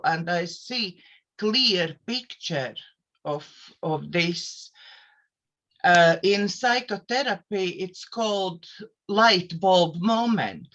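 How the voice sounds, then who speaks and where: A woman with a Latvian accent speaks slowly and deliberately, pausing on "uh" as she picks her English words. She introduces herself to viewers as a coach and therapist. The sound is a little dull and lo-fi. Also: mid-range voice, fairly bright tone. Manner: careful non-native English with hesitant fillers. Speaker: host, life coach and therapist. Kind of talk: self-introduction to camera.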